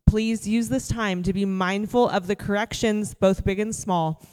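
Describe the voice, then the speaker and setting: A woman guiding a congregation through meditation in a church. Her voice is earnest and gentle, with heartfelt pauses between questions. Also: mid-range voice, steady tone, clear, resonant tone, long reverb tail, poor audio. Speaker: worship leader. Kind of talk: guided meditation in a church service.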